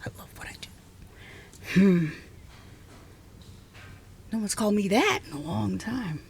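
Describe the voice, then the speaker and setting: Woman acting a slow, whispery, prickly female scientist. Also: medium pitch, gravelly, a little hiss or hum, full character voice. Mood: tired, curious. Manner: halting, teasing, deadpan, often dropping to a whisper. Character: exhausted, prickly, passionate female scientist, secretly softening toward intruder. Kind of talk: ASMR roleplay, intimate one-sided dialogue.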